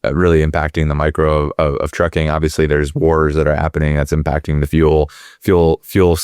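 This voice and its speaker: Middle aged guy w a deep voice, um-ing and restarting a lot, dry close sound. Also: little pitch movement, a little fast, darkish tone, clean tone, dry recording. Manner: rambling, many fillers and restarts. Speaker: guest, company executive. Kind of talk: conversational interview.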